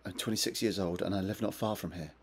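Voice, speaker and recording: A man's voice: low-pitched, quick pace, breathy edge. An actor playing a distressed, confused man in his twenties in a video game, agitated and defensive. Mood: subdued, explaining. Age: 20s. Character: distressed, confused young man suspected by police. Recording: noisy with background ambience.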